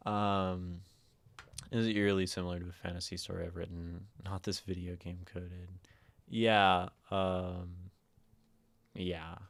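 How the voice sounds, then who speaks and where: A man with a naturally low voice, hosting a livestream and musing softly and aimlessly with many fillers. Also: little pitch movement, unhurried. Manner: soft, meandering, lots of fillers; prefers talking quietly and a little higher. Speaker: livestream host. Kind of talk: casual livestream chat.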